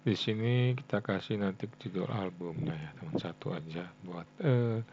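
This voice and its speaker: An Indonesian man giving a casual coding tutorial, thinking aloud in a halting way and often tagging phrases for his viewers. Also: sits low. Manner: halting, frequent "ya" tags addressing viewers as friends. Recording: dull lo-fi mic with faint hum.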